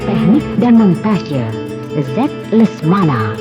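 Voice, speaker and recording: Casting: female radio-serial announcer, slow dramatic credit reading, mid pitch, prominent music underneath. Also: sing-song. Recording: dull radio recording under loud music.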